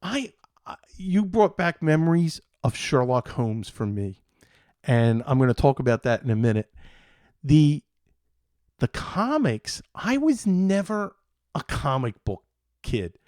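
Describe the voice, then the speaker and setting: A middle-aged man from Philadelphia with an American accent, hosting a casual podcast in a halting, meandering, enthusiastic way. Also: a little high, sing-song, darkish tone, no echo, clean studio sound. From Philadelphia, United States. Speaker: show host.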